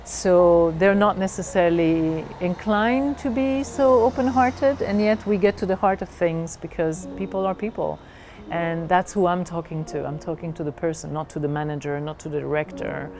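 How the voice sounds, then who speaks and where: A middle-aged woman from Belgium telling her personal story in a reflective way, haltingly searching for her words. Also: on the low side, fairly even intonation. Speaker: leadership coach.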